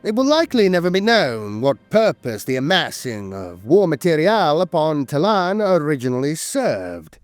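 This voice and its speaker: A man narrating scripted lore as a storyteller, with a grave, dramatic delivery. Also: a little high.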